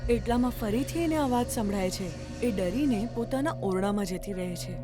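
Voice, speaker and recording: A woman's voice: mid-range voice, lively intonation, moderate pace. A woman narrating a scripted children's story as its storyteller. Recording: music bed behind narration.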